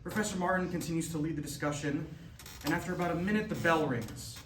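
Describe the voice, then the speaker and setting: A man's voice: a little high, fast. A man giving scripted narration, reading out stage directions at a staged reading. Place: echoey room, staged reading. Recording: echoey distant mic, clear noise.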